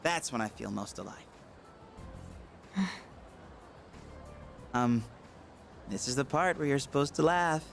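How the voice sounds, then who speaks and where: A man's voice: mid-range voice, expressive, slow pace, creaky, long pauses, fairly bright tone, faint music behind, full character voice. An actor voicing a cocky, goofy but earnest teenage boy in a video game, casual and sometimes awkward or theatrically boastful. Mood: sheepish, playful. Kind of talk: English video game voice acting.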